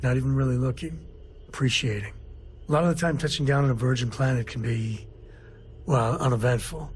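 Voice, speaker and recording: Actor as a folksy easygoing space explorer. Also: male voice, mid-range voice, slight background noise, natural voice. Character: easygoing male spaceship explorer and single father. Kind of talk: video game character dialogue.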